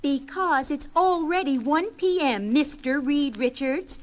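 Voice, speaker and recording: An actress playing a bossy, exasperated young wife and big sister with a dramatic delivery, in a 1970s superhero audio drama. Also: female voice, high-pitched, unhurried. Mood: scolding. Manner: dramatic scolding and cooing delivery.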